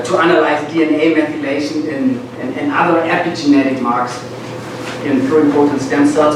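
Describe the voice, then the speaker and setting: A middle-aged man with a German accent gives an informal academic talk to students, halting and often repeating words. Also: a little high. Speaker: guest lecturer, medical school professor. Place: lecture classroom, indoors. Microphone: far camera at back of room. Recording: distant, very echoey, noisy room recording.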